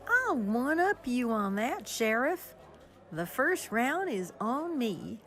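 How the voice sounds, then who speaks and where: Actress as a slow, high-voiced saloon woman. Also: sing-song, fairly bright tone, heavily acted. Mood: wry. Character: wry female Old West saloon keeper. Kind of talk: western radio play acting.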